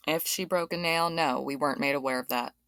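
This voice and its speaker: A woman's voice: low-pitched, a little fast, fairly bright tone, dry recording. A woman playing a terse police detective on the witness stand in an audio drama, guarded and matter-of-fact.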